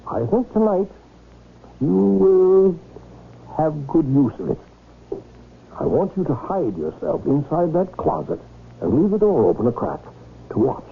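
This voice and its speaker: An actor playing a nervous, secretive gentleman with formal, halting, emphatic delivery in an old-time radio drama. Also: a little high, very animated, creaky, full character voice. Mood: secretive, commanding. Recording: lo-fi radio broadcast, faint noise.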